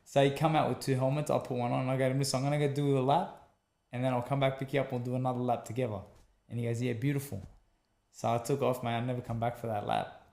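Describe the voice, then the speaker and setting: A man's voice: medium pitch, fast talker, echoey room. A man with an Australian accent, a former boxer being interviewed, telling his story casually and acting out what people said, often repeating himself and adding "man". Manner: animated storyteller acting out quotes, repeats phrases, says man a lot. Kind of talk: conversational storytelling.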